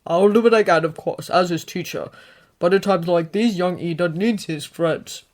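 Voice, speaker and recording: A woman voicing a big, gentle grown male hero who comforts his young student in a fatherly way. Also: fairly low pitch, moderate pace, lightly acted.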